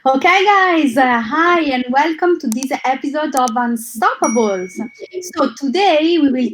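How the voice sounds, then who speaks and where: A woman's voice: sits high, lively intonation, measured pace, a little hiss or hum, low-quality recording. A woman hosting an interview, chatting casually and asking questions with many fillers. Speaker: interview host.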